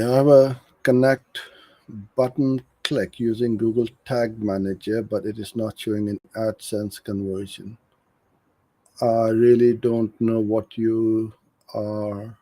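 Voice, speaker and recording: A man's voice: on the low side, dark tone, no echo. A middle-aged man from Karachi, Pakistan, coaching in an informal live question-and-answer session, frank and practical as he explains. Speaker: digital marketing agency owner hosting a live Q&A.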